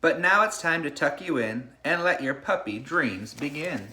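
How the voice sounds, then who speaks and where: A man with a fairly high, bright voice talks to children as their teacher during a picture-book read-aloud. His intonation swings widely in an animated storybook style. It is a home recording with noticeable noise and clicks. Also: moderate pace.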